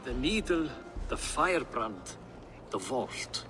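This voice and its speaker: An actor playing a cautious, weary older Norse official who speaks with reasoned restraint in a game cutscene. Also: male voice, medium pitch, big pitch swings, takes it slowly, noisy background. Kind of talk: video game cutscene acting.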